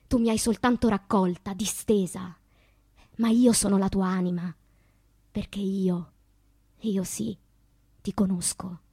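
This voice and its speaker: An actress playing a passionate, wounded woman poet confessing her loves in a theatrical, poetic radio monologue, moving between hushed and impassioned delivery. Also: mid-range voice, fairly even intonation, unhurried, long pauses, breathy edge, no echo. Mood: intimate, bitter.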